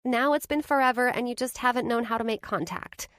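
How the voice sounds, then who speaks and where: A bubbly young woman's best friend, pitched noticeably high and lilting, as a narrator puts her on. She reassures in a soft, caring tone. The recording is close and dry. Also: fairly quick, clean tone, dry recording, lightly acted. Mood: compassionate. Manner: gushing then gently reassuring, lilting. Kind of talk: audiobook character voice.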